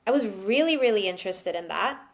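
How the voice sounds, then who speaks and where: A woman's voice: mid-range voice, lively intonation, fairly quick. A woman who grew up in Sweden, chatting as a podcast guest. She talks loosely with plenty of filler words and laughs often as she tells her story. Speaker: guest, psychic channel. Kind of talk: casual interview chat.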